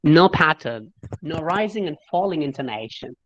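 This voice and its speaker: A man's voice: a little high, lively intonation, normal speed, fairly bright tone, muffled recording. Malayali English-accented man coaching pronunciation. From Kerala, India. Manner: explanatory, spells words out and demonstrates pronunciation. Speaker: speaking coach. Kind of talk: interactive online English lesson.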